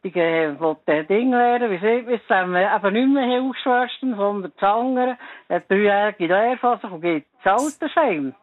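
A woman's voice: mid-range voice, moderate pace, dry recording, narrow, tinny audio. Chatty Bernese woman in her seventies. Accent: Bernese Swiss German. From Bern, Switzerland. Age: 70s. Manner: chatty, laughs often, rambling. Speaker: radio caller. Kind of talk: casual phone-in chat.

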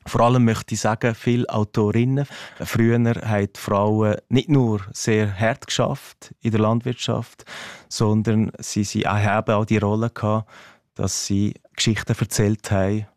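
A man's voice: lowish voice, little pitch movement, unhurried, darkish tone, dry recording. Man, a touch, hesitant and thoughtful, dry studio sound. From Ticino, Switzerland. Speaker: teacher and interview guest. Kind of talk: radio interview, relaxed explaining.

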